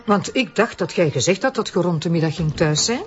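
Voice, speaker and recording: Actress as sharp-tongued Flemish wife, sarcastic, suspicious, very varied intonation, muffled radio-drama sound. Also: female voice, on the low side, expressive, fairly quick, natural voice. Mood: sarcastic, probing. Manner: sarcastic, needling questions. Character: sharp, suspicious, exasperated wife and mother of small children.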